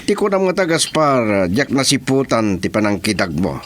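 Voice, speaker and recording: An actor playing a sly, scoffing boss who talks business with a crony, in an Ilocano radio drama. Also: male voice, mid-range voice, big pitch swings, moderate pace, a bit bright. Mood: scheming.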